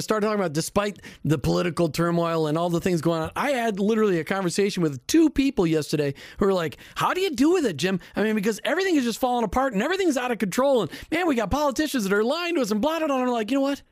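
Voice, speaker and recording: Upbeat radio host guy, pretty high voice, dry close mic, trips on his words. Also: lively intonation, fast, no room sound. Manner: enthusiastic, chatty, stumbles on starts. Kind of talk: casual radio talk.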